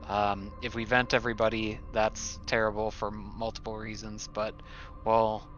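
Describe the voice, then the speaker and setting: A man chats through his turn in a tabletop roleplaying game, hesitant and casual. His voice is bright and slightly low in pitch. The recording is a little dull. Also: level tone, normal speed, slight background noise. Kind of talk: casual in-character game chat.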